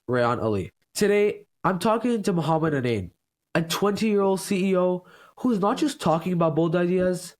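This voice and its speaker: A man hosting a podcast, reading a polished scripted intro and asking prepared interview questions. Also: a little high. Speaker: podcast host.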